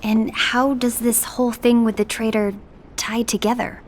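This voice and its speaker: An actress playing a composed, diplomatic young woman in a fantasy game, speaking formally and with measured politeness. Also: on the high side, level tone, a little hiss or hum, lightly acted. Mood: concerned. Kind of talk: scripted game cinematic acting.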